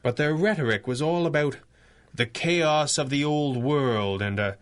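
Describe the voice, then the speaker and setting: An actor playing a stern, analytical masked superhero, speaking gravely in the style of a 1930s radio serial. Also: male voice, a little high, a little slow, close to an everyday voice. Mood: grave, pondering. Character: stern, commanding masked superhero crime-fighter, calmly analytical.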